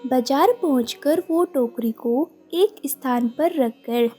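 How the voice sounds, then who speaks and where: Woman storyteller, high pitch, unhurried measured pace, scripted moral tale narration, mellow. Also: slightly rough.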